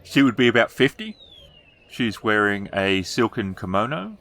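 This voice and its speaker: A man narrating a tabletop game as game master, improvising descriptions in halting phrases. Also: fairly low pitch, big pitch swings, a bit bright.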